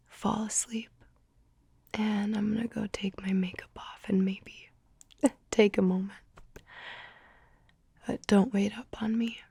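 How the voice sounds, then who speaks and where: A young woman whispering softly in an ASMR roleplay, gentle and tender, a little tearful. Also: mid-range voice, creaky, slightly rough, no echo. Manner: soft whispering.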